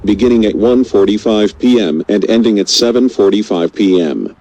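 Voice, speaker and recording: A low-pitched male news anchor, acted by a man for an audio drama. He delivers a scripted crisis bulletin in formal, measured phrases, as a radio station's anchor would. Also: a little mellow, close to an everyday voice, rough recording. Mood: grave, urgent.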